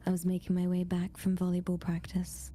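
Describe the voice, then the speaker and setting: A woman reading as a student narrator of a scary first-person story in a breathy ASMR whisper. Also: lowish voice, monotone, brisk, dark tone, a little hiss or hum, pitched below the performer's own voice, natural voice. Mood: hushed, eerie.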